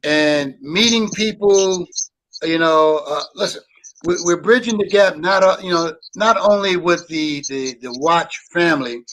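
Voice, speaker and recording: High-voiced Brooklyn man, rambling. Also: a little slow, a bit bright, rough recording. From Brooklyn, New York. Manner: rambling, many "you know" fillers. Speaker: guest. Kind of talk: casual chat.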